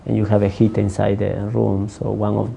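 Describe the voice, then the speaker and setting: A man working as a counselor whose first language is not English, answering interview questions in a halting way, with many restarts. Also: fairly low pitch, monotone, gravelly, mellow, muffled recording, slight background noise.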